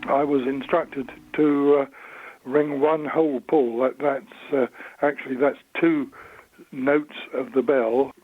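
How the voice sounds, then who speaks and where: A man in his eighties with an English accent, recounting memories in an interview in a hesitant, measured, understated way. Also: medium pitch, steady tone, no echo, narrow, tinny audio. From Suffolk, England. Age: 80s. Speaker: interviewee, church bell ringer.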